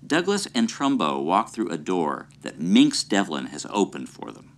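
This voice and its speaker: A male host narrates history in an earnest, explanatory style. His voice is low yet lively in pitch. The delivery is scripted and clear. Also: normal speed.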